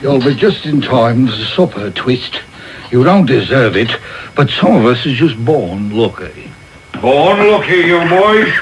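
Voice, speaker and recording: An actor playing a pompous, callous, self-important parish beadle in a period radio drama, with a grandiose, declamatory delivery. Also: male voice, a little high. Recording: noisy off-air radio recording, roomy echo.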